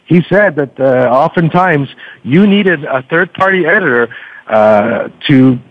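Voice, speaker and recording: A man's voice: a little high, animated, measured pace, no echo. A man speaking as a podcast guest in casual interview chat, explaining things in a wordy, stop-and-start way. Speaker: podcast guest, book reviewer. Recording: dull lo-fi radio-like line.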